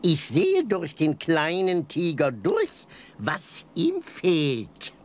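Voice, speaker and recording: A fussy frog doctor from a children's audio play. He talks very slowly in halting phrases broken by croaky quacks, with wide swings of pitch. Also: wildly swooping pitch, full character voice. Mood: explaining, halting.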